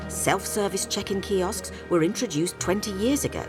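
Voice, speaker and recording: A woman's voice: medium pitch, normal speed, music in the background. A woman giving scripted documentary narration with a wry, playful delivery.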